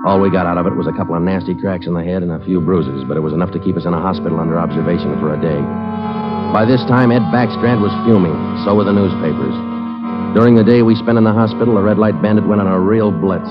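An actor playing a terse police detective in a 1940s radio crime drama, clipped and matter-of-fact. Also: male voice, low voice, fairly even intonation, fast talker, natural voice. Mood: narrating. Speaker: detective and narrator. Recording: dull lo-fi 1940s radio broadcast with loud score music.

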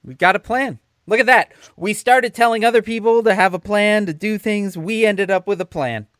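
A man's voice: high-pitched, normal speed, a bit bright, no echo, studio quality. A man co-hosting a podcast, agreeing enthusiastically and chatting casually. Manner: agreeable, enthusiastic, short replies. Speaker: podcast co-host. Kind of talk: casual chat.

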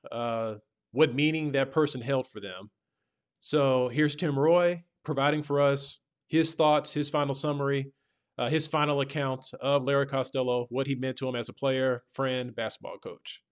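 An American man hosting a podcast, speaking in deliberate, formally phrased introductions and sign-offs. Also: medium pitch, clean tone. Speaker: podcast host. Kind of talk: scripted-sounding podcast hosting over music. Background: guitar music.

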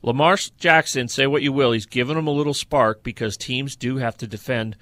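A man's voice: mid-range voice, fairly even intonation, a little fast, a bit bright, no echo. A man co-hosting a sports podcast, giving opinionated football analysis in a conversational, casual style.

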